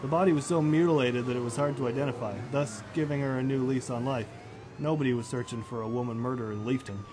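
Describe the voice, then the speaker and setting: Casting: man as explanatory cop, medium pitch, businesslike, amateur radio play, noisy siren-filled scene. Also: fairly even intonation, quick pace, close to an everyday voice. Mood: matter-of-fact. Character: matter-of-fact male police officer explaining a case. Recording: loud outdoor street ambience with police sirens. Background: sirens and street ambience.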